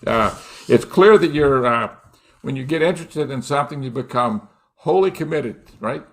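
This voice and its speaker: A man in his late sixties hosts a casual live-stream chat with an architect guest. He talks haltingly, with many fillers and restarts, and laughs often. His pitch sits in an everyday middle range. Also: expressive, vocal fry. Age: 60s. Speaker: host.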